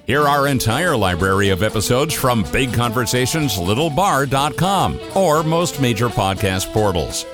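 A man reading a scripted podcast outro in a smooth announcing manner. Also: mid-range voice, expressive, normal speed, hardly any pauses. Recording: music bed.

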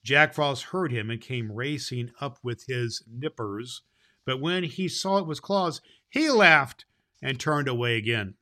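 A man hosting and reading a Christmas storybook aloud to children in an expressive way. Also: medium pitch. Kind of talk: storybook read aloud with informal host talk.

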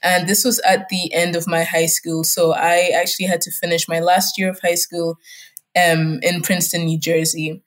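A woman's voice: lowish voice, little pitch movement, normal speed. A young woman whose first language is German, a student guest who grew up in Germany and Scotland, telling her story reflectively, with fillers. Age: young adult. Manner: reflective, with fillers and soft laughs. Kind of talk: personal storytelling in an interview.

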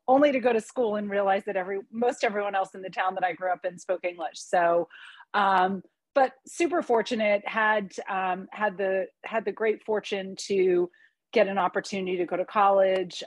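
A woman in her forties, born in the United States with Hungarian as her first language, talking candidly as a podcast guest, often pausing with fillers and restarts. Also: mid-range voice, no echo. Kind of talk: conversational interview.

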